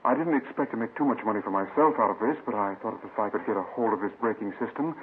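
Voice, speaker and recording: Male, American, lowish voice, earnest and courteous working professional acted by a man, hissy, muffled 1950s radio sound. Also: quick pace, close to an everyday voice. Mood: earnest, aggrieved. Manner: earnest, polite, explanatory. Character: earnest, polite swindled male railroad engineer. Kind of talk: 1950s radio drama acting.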